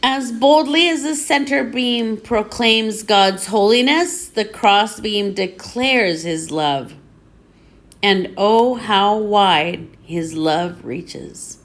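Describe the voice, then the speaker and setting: Slow-paced woman reading devotional text aloud. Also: medium pitch.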